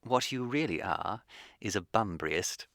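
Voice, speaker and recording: Low-voiced, teasing English gentleman. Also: lively intonation, a little slow, no echo, clean studio sound. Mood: smug, witty. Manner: arch, languid, theatrically precise. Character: witty, teasing young English gentleman of leisure. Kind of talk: comic radio play acting.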